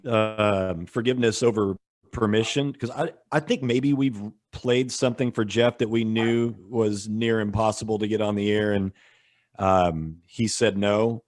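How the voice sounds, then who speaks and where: Male co-host, low-pitched, joking and easygoing, dry audio. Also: measured pace, no room sound. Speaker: radio co-host. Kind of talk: casual radio chat.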